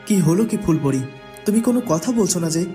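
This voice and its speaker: A man voices a kindly young prince in a fairy-tale cartoon. His tone is mellow and rounded, with an earnest, questioning delivery. Music plays softly beneath. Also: fairly high pitch, expressive, quick pace, close to an everyday voice. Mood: surprised, curious. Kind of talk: Bengali cartoon voice acting.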